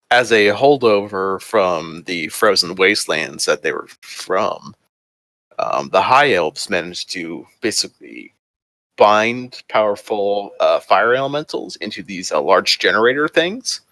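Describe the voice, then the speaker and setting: Low, bright-toned male game master. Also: animated, takes it slowly, no room sound. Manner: loose, filler-heavy explaining with casual swearing. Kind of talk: running a tabletop game over voice chat.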